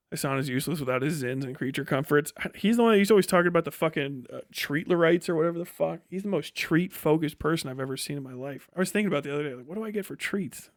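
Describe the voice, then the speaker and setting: Male American livestream commentator, high-pitched, blunt and profane, casual opinionated delivery, very dry sound. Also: fast, no room sound. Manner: profane, blunt, scornful, slangy. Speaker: livestream host and political commentator.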